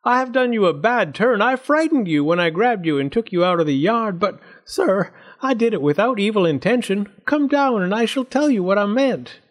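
Male reader doing a wily fox: high, wheedling flatterer for a storybook. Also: normal speed, hardly any pauses. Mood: coaxing. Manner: coaxing, flattering. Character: sly flattering male fox, smooth-talking schemer.

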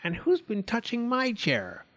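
Man putting on an annoyed, high-voiced mummy bear. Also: huge pitch swings, unhurried, fairly bright tone, full character voice. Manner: annoyed, exclaiming. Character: medium-sized female mummy bear, annoyed and scolding. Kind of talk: children's storybook character voice.

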